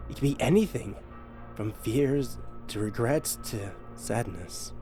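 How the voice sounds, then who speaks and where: A man's voice: mid-range voice, expressive, unhurried, over music, lightly acted. An actor voicing a proud god-king who speaks in a formal, grandiose way. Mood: calm.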